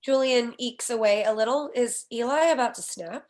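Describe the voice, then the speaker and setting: A woman's voice: on the high side, normal speed. A woman reading stage directions as scripted narration for a screenplay table read.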